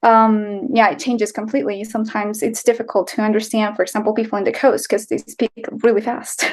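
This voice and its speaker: A woman's voice: mid-range voice, flat delivery, fairly quick, darkish tone. A young woman from Colombia with a Colombian accent, answering interview questions in a casual, chatty way full of fillers and restarts. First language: Spanish. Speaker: guest, writer and filmmaker.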